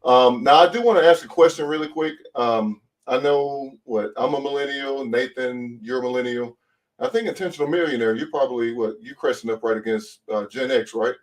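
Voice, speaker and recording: A man's voice: mid-range voice, fairly quick. Earnest young man, slightly distant mic. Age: 20s. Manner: polite, earnest, asks questions. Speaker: panel guest, channel host. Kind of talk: casual panel discussion.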